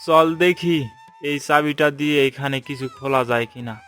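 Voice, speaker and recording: A man plays an eager young male adventurer in a cartoon fairy tale, easily amazed and informal. His voice is a bit high, with music behind it. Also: normal speed, a bit bright, close to an everyday voice.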